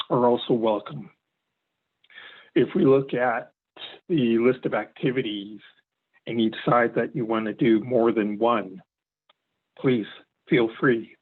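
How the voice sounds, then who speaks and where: A man's voice: medium pitch, slow pace, pauses often. A Canadian man who is losing his voice, presenting on a government webinar and inviting listeners to apply. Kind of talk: webinar explanation. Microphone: video call. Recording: tinny phone-like line.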